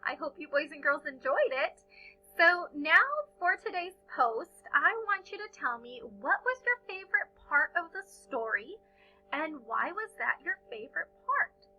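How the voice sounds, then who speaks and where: A woman reading a picture book aloud to young children as a storyteller, with expressive, dramatic and deliberate phrasing. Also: sits high, faint music behind, poor audio.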